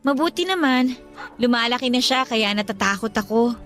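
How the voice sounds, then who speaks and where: Actress as a high-voiced, friendly young working mother. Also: lively intonation, moderate pace, fairly bright tone, faint music behind. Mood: reflective. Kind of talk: Filipino radio drama dialogue.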